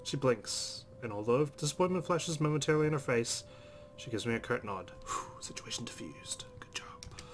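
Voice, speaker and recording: A man's voice: medium pitch, expressive, moderate pace, in a whisper. A man hosting a let's play, expressively reading a visual novel aloud and adding his own asides.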